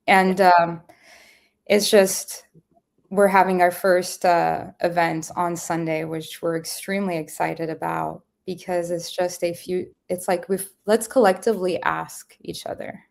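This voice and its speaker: A woman's voice: fairly low pitch, creaky, a little mellow. A woman guest telling her personal story in an earnest, spiritual, meandering way with many fillers. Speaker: guest, event company founder. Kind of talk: reflective personal storytelling in conversation.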